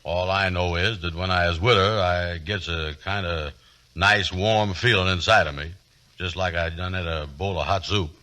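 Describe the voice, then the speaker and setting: A man acting a slow-witted, boastful, lovestruck fellow with folksy, ungrammatical speech in 1940s radio comedy. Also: sits low, steady tone, bright, forceful tone, slight background noise. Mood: lovestruck, puzzled. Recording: 1940s radio broadcast recording.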